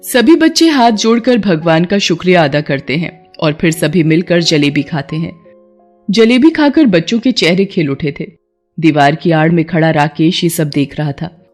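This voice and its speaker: A woman's voice: fairly low pitch, a little mellow. A woman reading scripted narration for a children's moral story.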